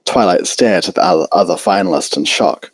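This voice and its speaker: A man narrates a piece of fan fiction. His voice sits low, and the recording is dry, with very little room around it. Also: a little fast, husky, no echo. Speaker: story narrator.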